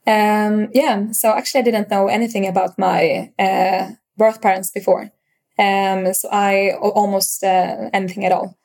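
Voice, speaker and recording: A young woman with a Swedish accent, speaking in a middle pitch. She answers interview questions as a startup founder, pausing often and restarting her sentences. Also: flat delivery, creaky, darkish tone. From Sweden. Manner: hesitant, many fillers and restarts.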